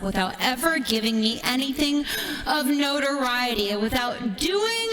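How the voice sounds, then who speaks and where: A woman livestreamer delivering a tongue-in-cheek monologue to her viewers in a teasing, drawn-out, dramatic way, often dropping toward a whisper. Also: fairly high pitch, a bit bright, rough recording.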